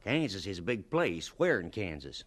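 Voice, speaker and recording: Gruff farm employer, clipped and doubtful, animated melody, radio-play delivery. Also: male voice, low voice, normal speed, exaggerated voice. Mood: suspicious, probing. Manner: blunt, clipped. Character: gruff, skeptical farm boss questioning a job seeker. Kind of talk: audio drama acting.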